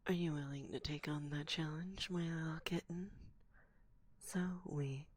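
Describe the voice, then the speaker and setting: A woman plays an assertive forest witch in a close binaural ASMR roleplay. Her voice is low and husky, often slipping into a soft whisper. She speaks slowly and deliberately, a stern, teasing mentor who is condescending yet fond. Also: steady tone, dry recording. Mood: haughty, teasing. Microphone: close binaural microphone.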